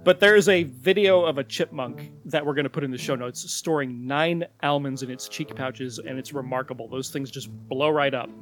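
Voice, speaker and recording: Mid-pitched man explaining things calmly as a podcast co-host, with faint music behind. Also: moderate pace, few pauses. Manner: calm, explanatory, laughs along. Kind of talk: casual chat with explanations.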